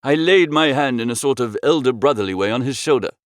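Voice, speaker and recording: A man narrating a comic first-person literary story as an audiobook, in a droll, expressive storytelling manner. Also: mid-range voice, fast talker, dry recording, studio quality.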